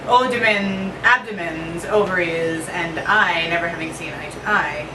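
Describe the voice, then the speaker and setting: A woman poet giving an expressive live poetry reading at an evening event. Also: unhurried. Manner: expressive, shifting pitch and pace for effect. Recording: roomy echo from far off with noise.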